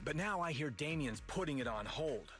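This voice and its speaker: A man's voice: a little high, lively intonation, moderate pace, over music, close to an everyday voice. A man voicing an earnest, determined labor union leader in a video game. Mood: earnest, resolute.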